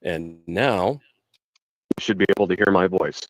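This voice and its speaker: A man's voice: sits low, moderate pace, dry recording, poor audio. A man hosting a casual live product demo and interview, explaining things in an informal, conversational way. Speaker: host and product demonstrator.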